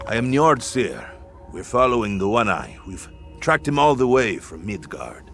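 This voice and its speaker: An actor playing a stern northern warrior in a video game, speaking in grave declarations. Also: male voice, fairly low pitch, big pitch swings, normal speed, vocal fry, a bit bright, soft background music, exaggerated voice. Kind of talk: dramatic video game acting.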